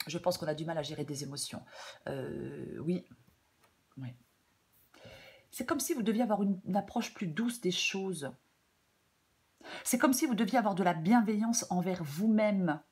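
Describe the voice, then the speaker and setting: A woman with a French accent giving a tarot reading in a casual, hesitant way, slightly hoarse, with many fillers and repeated phrases. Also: fairly low pitch, unhurried, pauses often, a little mellow. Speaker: tarot reader. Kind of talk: casual spoken tarot guidance.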